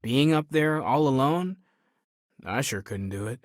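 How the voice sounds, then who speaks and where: Young male space explorer played by a man, game character: sincere, softly questioning seeker, dry studio-close sound. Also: medium pitch, expressive, measured pace, no room sound, natural voice. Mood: pensive. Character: earnest, curious, spiritually searching young male space explorer. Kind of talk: video game voice acting.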